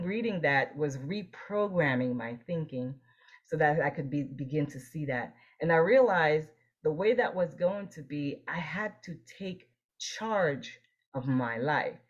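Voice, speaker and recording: Woman from Haiti, very low voice, earnest and reflective, muffled lo-fi sound. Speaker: guest, optometrist and leadership coach. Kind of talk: interview, personal story.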